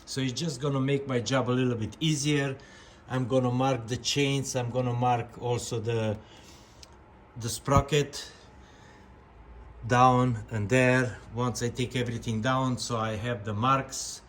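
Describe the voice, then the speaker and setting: A man with a medium-pitched voice talks at an unhurried, slow pace, like a vlogger showing viewers around a job. He explains things step by step in a casual way and addresses the audience directly. Clear ambient and vehicle noise sits behind him. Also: steady tone. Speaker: vlog host. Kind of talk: casual hands-on vlog talk to viewers.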